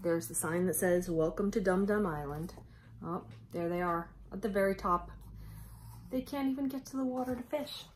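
A woman in her thirties reading a picture book aloud to children with expressive, exclamatory delivery and dramatic emphasis. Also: mid-range voice, moderate pace, slight background noise. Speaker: children's storyteller.

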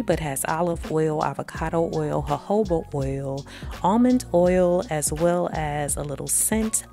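Chatty woman in her 30s narrating a beauty vlog; low, gravelly voice, soft music underneath. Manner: chatty, addresses viewers as y'all. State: pregnant. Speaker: beauty vlogger. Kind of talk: voice-over narration of a self-care routine.

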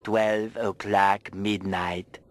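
Very deep, slow man on noisy clip. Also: level tone. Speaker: title-card narrator. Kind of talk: cartoon time-card narration.

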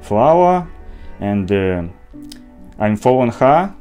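A middle-aged man with a Russian accent telling his story in broken English, halting as he searches for words. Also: mid-range voice, sing-song. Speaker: interviewee. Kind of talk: personal testimony in broken English. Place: small indoor room with curtain. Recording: faint music behind. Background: faint music.

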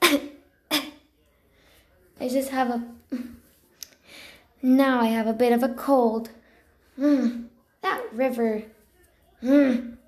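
Casting: young female warrior-cat kit, played by a woman, high voice, unhurried delivery, eager and excitable, roomy sound. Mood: apologetic, subdued. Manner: eager, breathless, expressive. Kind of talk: amateur character voice lines.

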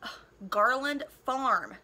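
A woman's voice: sits high, sing-song, takes it slowly, bright, forceful tone, exaggerated voice. A woman voicing an earnest, sheltered thirteen-year-old boy in a storybook, literal and a little defensive.

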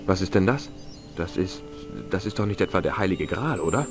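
Low-voiced, wry young adventurer. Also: male voice, expressive, fairly quick. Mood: excited, urging. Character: easygoing, curious young male adventurer. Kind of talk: video game voice acting. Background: game music.

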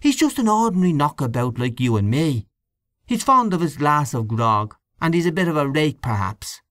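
A man voicing a loud, blustering canvasser who argues with forceful emphasis, in an audiobook reading. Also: on the high side, big pitch swings, moderate pace, dry recording, pitched above the performer's own voice. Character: blustering middle-aged Irish political canvasser. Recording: dry close studio read.

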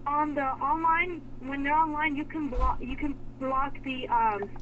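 A woman working as a store clerk, patiently and haltingly explaining things on a customer service phone call. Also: high voice, steady tone. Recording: dull, noisy telephone line.

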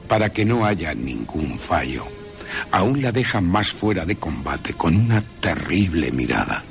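A gravelly, extremely low male voice tells a story from a script. His phrasing is deliberate and theatrical, over faint music. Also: very animated. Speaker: narrator. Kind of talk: scripted storytelling, descriptive narration.

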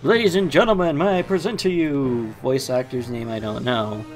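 A man's voice: mid-range voice, normal speed, faint music behind. A man hosting a let's play, mixing casual game commentary with reading story text aloud.

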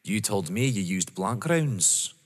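A man acting in an audio drama as a dogged, stern police inspector, pointedly courteous, with a rough, husky voice. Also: lowish voice, expressive, takes it slowly. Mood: probing. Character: dogged, stern male police inspector, dryly polite and accusing. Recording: long echoing hall reverb.

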